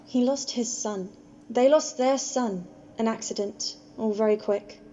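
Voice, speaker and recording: A kind, stubbornly loyal homeless woman played by a woman in an amateur radio drama. Her voice is fairly high and very mellow, with colloquial, halting phrasing. The home recording is dull, with faint noise behind it. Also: natural voice. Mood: earnest, subdued. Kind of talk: amateur radio play acting.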